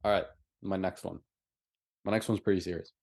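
Young man, lowish voice, casual sports chat, agreeable podcast co-host, repeats for emphasis. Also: steady tone, a little fast, creaky, a little mellow.

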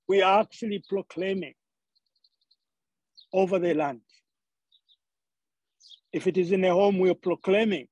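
Guy preaching, high mellow husky voice, stops and restarts a lot, dry sound with faint birds behind. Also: no echo, rough recording. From Zambia. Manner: halting, repeats words as he searches for phrasing. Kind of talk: sermon.

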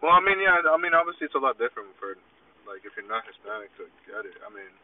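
A man's voice: medium pitch, big pitch swings, quick pace, distant mic. A man chatting casually as a podcast guest in a car, hesitant and agreeable, often trailing off. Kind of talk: casual chat. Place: parked car. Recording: tinny phone-like audio, faint car noise, off-mic.

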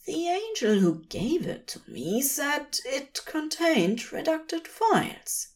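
Woman voicing a prim, high-pitched anxious male angel. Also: big pitch swings, slow, full character voice. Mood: earnest, prim. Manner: formal, fussy wording. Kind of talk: fan fiction audiobook dialogue.

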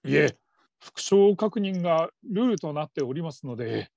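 A man's voice: fairly high pitch, very animated, moderate pace, creaky, darkish tone, no echo, full character voice. A man playing a flustered, middle-aged father who works as a call-centre operator, stammering in stiffly formal politeness in a radio drama. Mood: stiffly polite. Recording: dull lo-fi audio.

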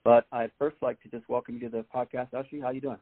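Fast-talking raspy male podcast host. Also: medium pitch, flat delivery, no echo. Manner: quick, friendly questioning. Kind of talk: casual interview hosting. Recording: dry, tinny phone-like sound.

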